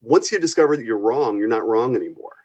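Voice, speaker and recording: A man's voice: mid-range voice, expressive, quick pace, darkish tone, lo-fi sound. A man speaking as an instructor in a conversational interview, earnest and given to acting out little quotes as he explains. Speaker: university physics and astronomy instructor, guest.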